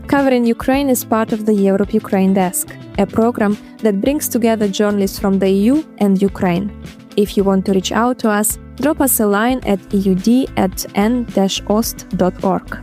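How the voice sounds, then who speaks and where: A woman presenting a podcast, reading a clear, even scripted outro. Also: mid-range voice, moderate pace, soft background music.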